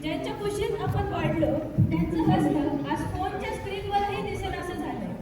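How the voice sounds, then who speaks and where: A woman's voice: very high voice, moderate pace, over a noisy crowd. A young woman giving a scripted, dramatic stage introduction to a student play. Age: young adult. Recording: echoey hall, distant mic, noisy.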